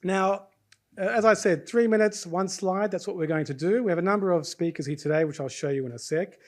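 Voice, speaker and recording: A man's voice: high-pitched, a little fast. A man from Australia with an Australian accent, hosting a university presentation session in a chatty way, often checking in with the audience and quizzing them. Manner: chatty, keeps checking in with "right?", quizzes the crowd. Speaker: host of a student presentation event. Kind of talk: lively event hosting before an audience. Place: university lecture hall.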